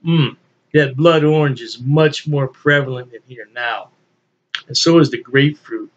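A man's voice: mid-range voice, a little slow, highs cut off, a little hiss or hum. An older man chatting casually and folksily as he reviews a beer at his home desk, chuckling to himself now and then. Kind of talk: casual solo video review. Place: home office with beer memorabilia, indoors. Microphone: webcam at desk.

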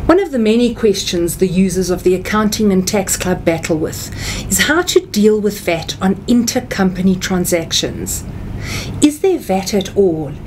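A middle-aged South African woman explaining something step by step in a measured, instructional way, as a seminar speaker. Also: mid-range voice, animated, slight background noise, low-quality recording. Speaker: tax seminar speaker and writer. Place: small indoor office or meeting room.

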